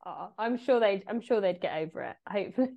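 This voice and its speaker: A woman's voice: medium pitch, lively intonation, fast talker, highs cut off. A woman hosting a podcast interview, encouraging and laughing along with her guest. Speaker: podcast host. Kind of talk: casual interview.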